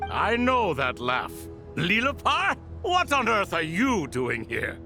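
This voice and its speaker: Creaky, wildly animated ancient spirit lord. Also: male voice, sits high, slow pace, music in the background, full character voice. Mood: surprised, testy. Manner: theatrical, old-fashioned politeness. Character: ancient imprisoned spirit lord, chatty, theatrical, wry, dusty old fellow. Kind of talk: video game character acting.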